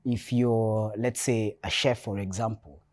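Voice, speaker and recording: A Kenyan man from Nairobi speaking as a guest on a panel, measured and reflective, often restarting his sentences. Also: lowish voice, fairly even intonation, darkish tone. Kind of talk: panel discussion. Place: hotel event space.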